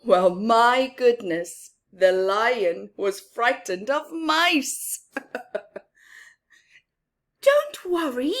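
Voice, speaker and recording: Slow, expressive woman reading a children's story. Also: a little high. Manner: expressive, giggly, explains simply to young children. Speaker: children's story-time reader. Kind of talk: read-aloud storytelling with explanatory asides to children.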